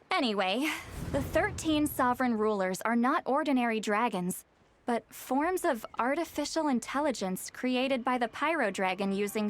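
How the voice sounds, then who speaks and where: A woman voicing a proud, sharp-tongued young shaman in a video game, explaining lore in a wry, lecturing way. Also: on the high side, lightly acted. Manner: wry, lecturing, slightly haughty. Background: game soundtrack music.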